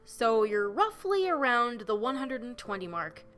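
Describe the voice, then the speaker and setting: A woman with a cold voicing an earnest young male geologist who explains things patiently in a measured, tidy way, her voice a little nasal. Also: fairly high pitch, sing-song, fairly bright tone, soft background music. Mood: explaining.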